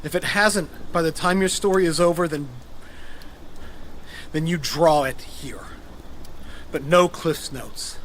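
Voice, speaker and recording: An actor playing a wary monster hunter held at gunpoint in an audio drama. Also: male voice, a little high, slow pace, pauses often, a bit bright, a little hiss or hum, poor audio. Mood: grave, resolute.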